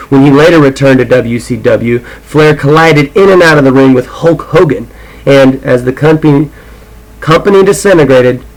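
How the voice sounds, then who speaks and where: A man with an average-pitched voice works as a homemade audiobook reader. His delivery is casual and unpolished, moving between rambling talk and reading aloud. He comes across as irreverent and freely foul-mouthed. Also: low-quality recording.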